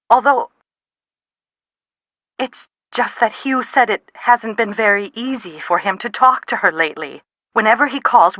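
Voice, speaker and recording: A woman playing an anxious, sharp-tongued middle-aged mother in a video game, with a dry, dramatic manner. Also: fairly high pitch, no echo. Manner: exasperated, dramatic, dry wit. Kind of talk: video game dialogue, phone conversation acting.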